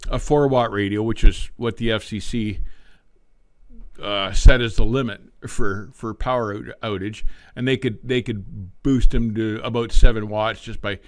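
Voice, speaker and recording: An older man talking casually on a live stream like a veteran trucker reminiscing, in a folksy, unhurried storytelling way. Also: on the low side, fairly even intonation, no echo. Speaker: livestream host, veteran truck driver.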